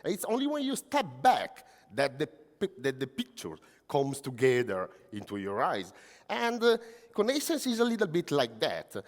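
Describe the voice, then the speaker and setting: A man with an Italian accent giving a conference talk, pausing often with many filler sounds. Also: on the high side, wildly swooping pitch. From Italy. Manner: halting, full of "uh" fillers. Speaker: conference speaker, technical coach. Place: large conference hall. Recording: long hall reverb.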